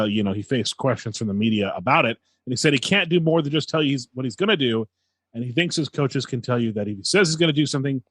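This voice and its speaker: Male co-host for sports commentary: slightly rough, husky voice, mid pitch, halting, casual, dry recording. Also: dry recording.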